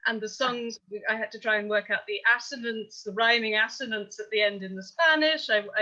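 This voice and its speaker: A woman's voice: mid-range voice, pushed and bright. A woman answering as a translator on a post-show panel, explaining her process. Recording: dull, lo-fi audio.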